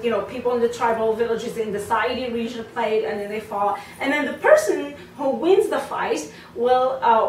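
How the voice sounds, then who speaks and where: A woman's voice: fairly high pitch, slight background noise. A woman from Morocco, a belly dance teacher, talking casually to camera with lots of fillers and asides. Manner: chatty, many fillers and asides. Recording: roomy sound from a distant mic.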